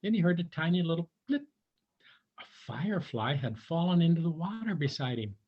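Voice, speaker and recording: A man reads a picture book to young children in a conversational way, chatting to them as he goes. His voice is fairly high for a man, very animated and husky, on a slightly dull recording. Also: a little fast. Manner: conversational, addresses young listeners. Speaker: volunteer storybook reader for young children. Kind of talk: animated children's read-aloud with asides.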